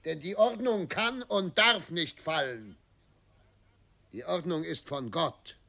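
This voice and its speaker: A man playing an authoritarian middle-aged governor and father in a radio drama, speaking in a measured, declamatory and patronizing way. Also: fairly high pitch, gravelly, sharp tone, heavily acted. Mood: stern, emphatic. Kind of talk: German radio drama acting.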